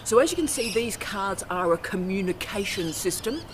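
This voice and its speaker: A woman's voice: mid-range voice, lively intonation. Forthright woman talking outdoors. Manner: emphatic, forthright, brisk. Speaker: university academic. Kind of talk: instructional vlog talk. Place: outdoors on a university campus by the ocean. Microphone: self-filmed camera outdoors. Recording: outdoor noise with birds and traffic.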